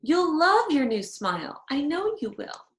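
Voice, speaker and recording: A woman voicing a kindly, patient grandfather in a children's storybook, coaxing and gently reassuring. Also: high-pitched, sing-song, unhurried, reverberant, voice pushed up. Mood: encouraging.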